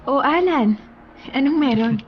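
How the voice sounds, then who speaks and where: A friendly young Filipina office worker speaks slowly in a high voice in a radio drama. The audio is muffled with clear noise. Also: female voice, lively intonation. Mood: pleasantly surprised.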